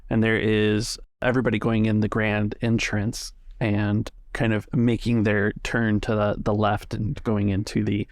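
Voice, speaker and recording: Low-voiced man running a tabletop game. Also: level tone, a little slow, hardly any pauses, no room sound, studio quality. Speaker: game master. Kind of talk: improvised tabletop game narration.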